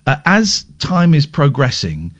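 Male radio host, rambling crude humour, animated pitch, slightly dull sound. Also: unhurried. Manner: rambling, crude deadpan jokes. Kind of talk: casual late-night radio chat.

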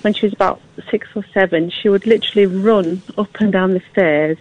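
A woman's voice: mid-range voice, fairly even intonation, a little fast, no room sound, highs cut off. A middle-aged British woman caller telling a casual anecdote in an easygoing, reassuring way. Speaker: phone-in caller.

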